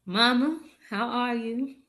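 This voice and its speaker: An actress playing an earnest young daughter from a village in a staged drama, halting and repeating her words. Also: a little high, a bit bright. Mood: affectionate. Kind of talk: staged script read of a drama.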